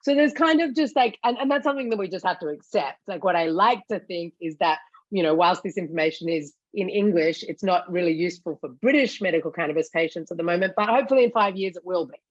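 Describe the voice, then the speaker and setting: A woman's voice: on the low side, expressive, no echo, highs cut off. Australian woman explaining on a video call. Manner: articulate, explanatory, quick to laugh. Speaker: CEO of a cannabis education site. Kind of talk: casual interview answers.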